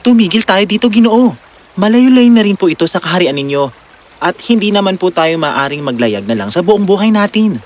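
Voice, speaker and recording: Performer playing an eager, animated young servant boy. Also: medium pitch, a little fast, close to an everyday voice. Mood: polite, earnest. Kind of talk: children's fairy-tale dialogue. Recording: music behind the voice.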